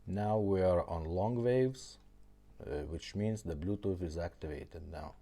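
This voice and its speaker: Deep-voiced man giving a casual demo. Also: unhurried, mellow. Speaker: repair shop presenter demonstrating a product. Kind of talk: casual product demonstration, explaining step by step.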